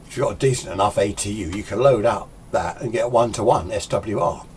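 A man's voice: on the low side, fairly quick, a little mellow, a little hiss or hum. A British man in his sixties giving an informal, opinionated, rambling explanation as a hobbyist presenting a tutorial. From United Kingdom. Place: small indoor radio workshop.

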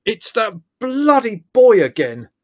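A man's voice: high-pitched, huge pitch swings, normal speed, a little mellow, no echo, clean studio sound, voice pushed up, exaggerated voice. A man voicing an exasperated, domineering young man in blustering, roaring outbursts for a storybook. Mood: outraged.

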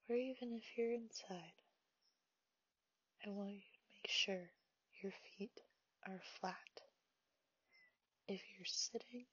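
A woman leading a guided meditation, speaking softly in a half-whisper, slow and soothing, on a dull, dry, lo-fi recording. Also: medium pitch, slightly rough, no room sound.

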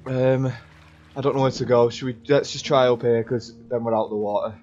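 A man's voice: medium pitch, fairly even intonation, brisk, a little mellow, lo-fi sound, faint music behind. A young man chatting casually as he improvises through a game roleplay. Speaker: gamer in roleplay series.

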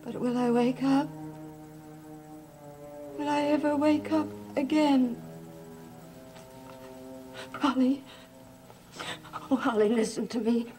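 A frightened, defiant young woman with a high, overwrought voice. She pleads breathlessly in old gothic soap style, with music playing behind her. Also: steady tone, slow, pauses often, dark tone, poor audio. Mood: anxious, pleading. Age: young adult. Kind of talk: 1960s gothic soap opera acting. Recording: old TV soundtrack with background music.

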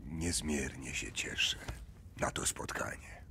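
An actor playing a courtly, middle-aged business partner, halting and polite, in an audio drama. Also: male voice, a bass voice, gravelly, sharp tone, slight background noise, heavily acted. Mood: sympathetic, halting. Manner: halting, polite, full of hems and pauses. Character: courtly, hesitant male business partner bearing condolences.

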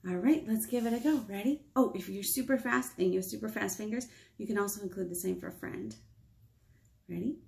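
An American woman in her thirties leading a picture-book storytime for small children, playful and expressive, asking them questions. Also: medium pitch, a little fast, vocal fry. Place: small indoor room with whiteboard.

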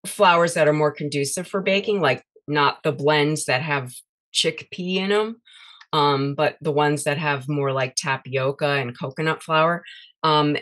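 A woman's voice: sits low, fairly bright tone. A woman guest on a podcast, talking casually about her recipes with many fillers and restarts. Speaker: guest, cookbook author. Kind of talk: casual interview chat.

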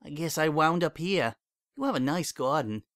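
A man voicing a heartbroken young female pony who speaks in a cold, flat tone that breaks into sobbing, in a dry recording. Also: fairly high pitch, normal speed, no echo, pitched above the performer's own voice. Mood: flat, bitter.

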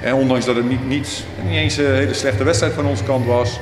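A middle-aged man gives a post-game interview in a measured, hesitant way with little pitch movement. He stands back from the mic in a reverberant room, with music playing behind him. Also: steady tone, distant mic. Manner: measured, hesitant, matter-of-fact. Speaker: ice hockey coach being interviewed. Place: bare brick-walled room indoors. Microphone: microphone on a stand at chest height.

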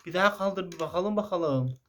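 A woman's voice: on the low side, moderate pace, slight background noise. Coaxing woman in casual play chat. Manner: coaxing, encouraging, giving simple prompts. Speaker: adult playing along with a young child.

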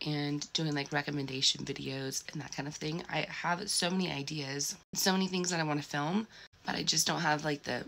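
A young woman with a lowish voice chats casually through her reading week, rambling and stacking fillers as she goes. She sounds like she is at home. A faint noise sits under the recording. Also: fairly bright tone. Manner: chatty, rambling, lots of "like" fillers. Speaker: book vlogger. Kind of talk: casual reading vlog chat.

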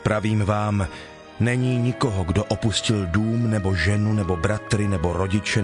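A man playing Jesus as a solemn, authoritative teacher in a dramatized scripture reading, speaking in measured declarations. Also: fairly low pitch, natural voice. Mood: grave. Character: Jesus, solemn teacher telling parables and instructing followers. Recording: music behind the voice.

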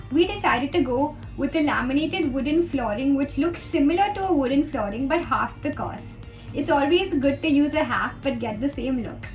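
A young woman, an interior designer, talking to the camera in an upbeat, explanatory way as she presents a design tour. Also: sits high. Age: young adult. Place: green-screen studio, indoors. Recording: distant mic with background music.

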